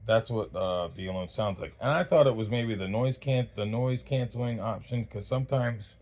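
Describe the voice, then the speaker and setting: A man giving a casual, unscripted gear review in a halting, rambling way, often backtracking mid-thought. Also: lowish voice, a little mellow. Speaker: host of a radio gear review channel.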